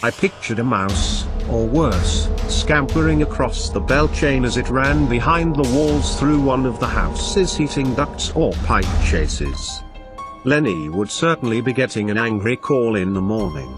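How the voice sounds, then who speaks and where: A man reading a scripted first-person horror story as an expressive narrator. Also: medium pitch, measured pace. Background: background music.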